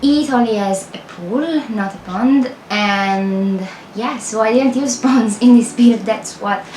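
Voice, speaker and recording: A woman's voice: medium pitch, slow pace, a little mellow, roomy sound, a little hiss or hum, rough recording. A young woman from Italy with an Italian accent, chatting through a rambling, self-correcting voiceover for her game build videos. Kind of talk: casual voiceover over gameplay.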